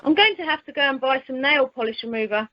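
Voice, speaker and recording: A woman's voice: high voice, fast talker, dry recording, natural voice. A woman playing an officious, slightly scatty airport check-in clerk in amateur radio comedy. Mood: chatty. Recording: muffled, dry telephone conference line.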